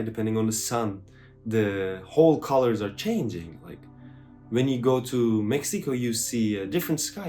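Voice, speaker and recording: A man's voice: mid-range voice, a little mellow. A young man with a Turkish accent, answering interview questions thoughtfully, with many fillers and restarts. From Turkey.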